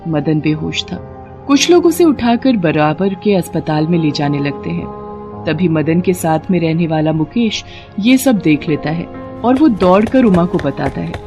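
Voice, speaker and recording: A woman narrating a cartoon moral story in a calm, even manner. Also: fairly low pitch, unhurried, over music.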